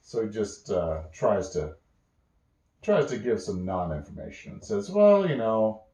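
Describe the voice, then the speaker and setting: Deep-voiced man telling a bedtime story. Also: expressive, darkish tone. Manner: halting, casual, pauses mid-sentence. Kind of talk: improvised bedtime storytelling with acted dialogue. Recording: roomy, echoey home recording.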